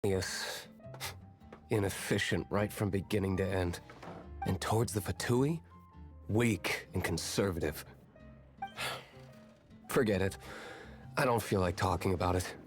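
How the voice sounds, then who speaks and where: An actor playing a cool, guarded young tavern owner in a video game, speaking in a reserved, businesslike way. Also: male voice, low-pitched, slow, long pauses. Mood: aloof, guarded. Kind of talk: video game cutscene acting. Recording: game music behind the voice. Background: background music.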